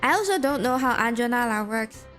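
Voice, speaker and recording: A woman's voice: a little high, lively intonation, a little fast, fairly bright tone, faint music behind. A young woman with a Malaysian accent, streaming a game and chatting casually with her viewers while reading game text aloud.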